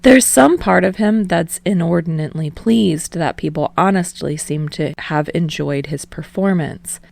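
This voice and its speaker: A woman reading a story aloud in an expressive, scripted narration style. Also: fairly low pitch, measured pace, vocal fry, no echo. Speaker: audiobook reader of fan fiction.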